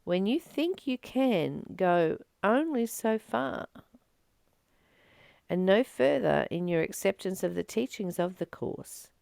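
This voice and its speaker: A woman reading aloud and reflecting on spiritual teachings in a deliberate, emphatic way, with pauses between phrases. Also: mid-range voice, animated, vocal fry, a little mellow, no echo, clean studio sound. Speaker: host of a spiritual reading channel.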